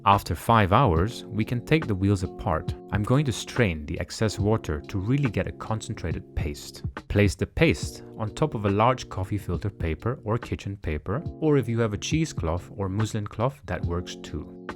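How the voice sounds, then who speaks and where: A man giving measured, precise step-by-step narration for a home cooking tutorial. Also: lowish voice, expressive, faint music behind. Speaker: cooking channel host. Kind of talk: calm instructional cooking voice-over. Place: home kitchen.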